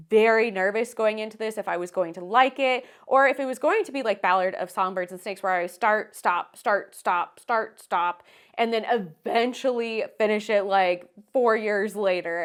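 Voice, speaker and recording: A woman hosting a casual book vlog, talking to viewers in an enthusiastic, emphatic way with stretched-out stressed words. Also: fairly high pitch. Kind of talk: casual chatty to-camera book review.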